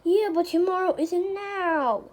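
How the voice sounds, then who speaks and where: Woman voicing a high-pitched, wheedling mischievous schoolboy. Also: measured pace. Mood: pleading. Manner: pleading, excuse-making.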